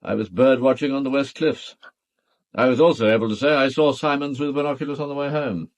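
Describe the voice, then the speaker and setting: Clipped, reserved British gentleman played by an actor. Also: medium pitch, fairly even intonation, quick pace, close to an everyday voice. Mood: reserved, unruffled. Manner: terse, matter-of-fact. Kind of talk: radio drama acting.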